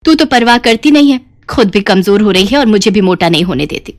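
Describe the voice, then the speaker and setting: A greedy older village mother-in-law from a moral cartoon story , played by a woman. She is pushy and scheming, and she grumbles and wheedles with a smug air at a middling female pitch. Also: expressive, fairly quick, lightly acted. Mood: grumbling.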